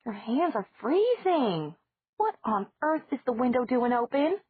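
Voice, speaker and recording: A woman's voice: on the high side, very animated, measured pace, slightly rough, no room sound, voice pushed up, exaggerated voice. A woman voicing a fussing, scolding middle-aged aunt in an audiobook. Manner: scolding, raised. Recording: dry, phone-like narrow sound.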